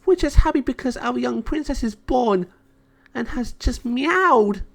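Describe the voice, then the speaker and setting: A man's voice: very high-pitched, animated, a little slow, vocal fry, pitched above the performer's own voice, full character voice. A man voicing a tender, adoring little female fairy in a children's storybook, speaking emotionally. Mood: happy, moved. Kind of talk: children's storybook read-aloud.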